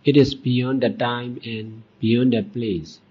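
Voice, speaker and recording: A man teaching as a Buddhist monk, leading a guided meditation in deliberate short phrases with long pauses. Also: mid-range voice, fairly even intonation, warm tone, breathy edge. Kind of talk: guided meditation dhamma talk. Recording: dull, muffled lo-fi recording.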